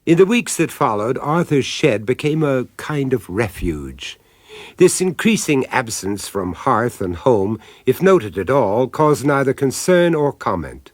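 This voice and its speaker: Brief: male narrator, measured and wry, expressive pitch, dry close sound. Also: dry recording. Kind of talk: scripted narration.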